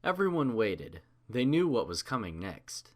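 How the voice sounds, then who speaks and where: A man's voice: mid-range voice, big pitch swings, a little slow. A man reading a story aloud as narrator, in a scripted storybook style.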